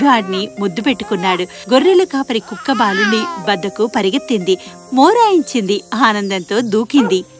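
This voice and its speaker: A woman narrating a scripted children's fairy tale in an expressive storytelling manner. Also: fairly high pitch.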